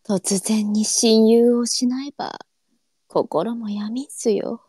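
Woman doing sad gentle old-japan courtesan, soft courtesan dialect, kinda high voice, demure period drama thing. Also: fairly even intonation, slow, slightly rough, no echo, studio quality. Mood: somber. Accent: courtesan arinsu dialect. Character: melancholy, tender-hearted adult courtesan of old Japan. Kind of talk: online live voice drama acting.

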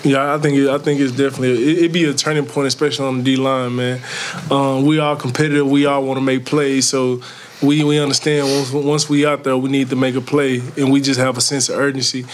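Young man, college athlete, fast casual answers with little pitch movement, press room interview. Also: little pitch movement, a little hiss or hum. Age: early 20s. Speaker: college football player. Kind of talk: post-game press conference answers. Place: indoor press conference room. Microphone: tabletop microphone.

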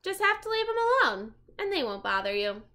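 A woman's voice: extremely high pitch, very animated, fairly quick, pushed and bright, voice pushed up, heavily acted. A woman voicing a cautious, bookish young boy, earnest and matter-of-fact, in a children's storybook reading. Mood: thoughtful.

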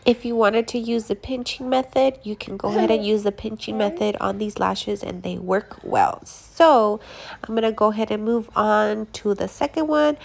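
A woman's voice: mid-range voice, steady tone, moderate pace, creaky, darkish tone. A young woman, congested from allergies, chatting casually as she reviews her lash products, with many fillers and restarts.

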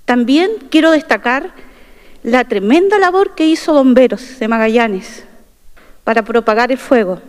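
A middle-aged woman reads a formal speech into a desk microphone, her tone earnest and proud. Her voice sits high. It carries a long reverb from the large wood-panelled chamber around her. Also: animated, unhurried. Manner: earnest and proud, stressing key phrases. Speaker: constitutional convention delegate. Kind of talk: formal opening speech, partly read aloud. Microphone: desk microphone at a podium.

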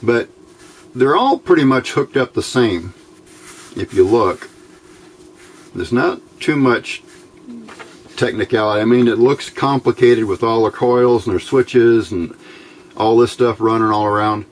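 A middle-aged American man giving an informal, unscripted technical explanation in a plain-spoken, folksy, halting way, recorded on a camera microphone at a workbench. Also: medium pitch. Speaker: electronics hobbyist presenter. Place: indoors at a workbench. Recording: noisy home-made camera audio.

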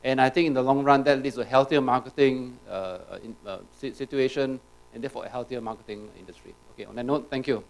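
Fast-talking man at a conference. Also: medium pitch, gravelly, a little hiss or hum, low-quality recording. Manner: rapid, tag questions, frequent restarts. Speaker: conference speaker. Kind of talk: conference talk. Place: large auditorium.

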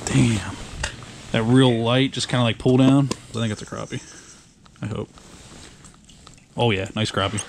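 A man in his thirties filming his ice-fishing trip in a tent on a frozen lake, talking casually and excitedly with plenty of slang and swearing, recorded on a handheld action camera. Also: mid-range voice, measured pace, creaky, long pauses. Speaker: fishing vlogger. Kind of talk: casual outdoor vlog. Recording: noisy outdoor camera audio.

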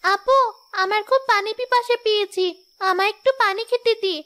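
A woman's voice: very high-pitched, steady tone, normal speed, a bit bright. A woman voicing a frail, pleading little girl in a cartoon, plaintive and childlike. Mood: distressed, pleading. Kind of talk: Bengali cartoon voice acting.

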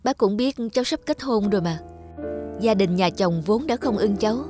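A woman playing a gentle, sorrowful young woman in a cartoon drama, speaking earnestly and plaintively. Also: medium pitch, animated, normal speed, over music, close to an everyday voice. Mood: worried, resigned. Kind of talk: cartoon drama acting with reflective narration.

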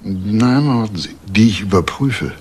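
An actor playing a calm, persistent police detective in a crime drama, speaking in a matter-of-fact, official way. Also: male voice, lowish voice, a little slow, breathy edge. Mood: firm, insistent. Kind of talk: German TV crime drama acting.

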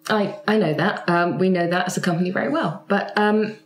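A woman improvising as a tabletop roleplay player, speaking in a hesitant, halting way. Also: on the low side. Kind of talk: improvised in-character chat. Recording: echoey room.

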